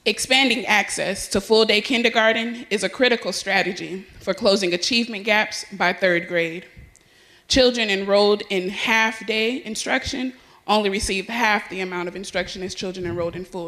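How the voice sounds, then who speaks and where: A young woman from Florida, a student leader, reading a prepared statement in a clear, deliberate way. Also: mid-range voice, steady tone, bright, forceful tone. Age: young adult.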